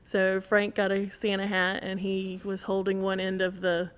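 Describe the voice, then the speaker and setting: A woman's voice: mid-range voice, completely flat delivery, moderate pace, clear, resonant tone. A woman telling a story in casual conversation as a podcast guest, animatedly acting out what people said.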